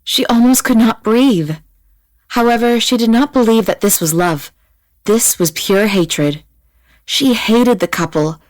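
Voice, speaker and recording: A woman narrating a scripted audiobook in a measured, dramatic manner. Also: fairly high pitch, a little mellow, dry recording.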